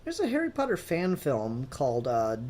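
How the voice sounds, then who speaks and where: A man's voice: fairly high pitch, huge pitch swings, moderate pace, a little mellow. Rambling, informal male podcast co-host. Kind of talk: casual chat.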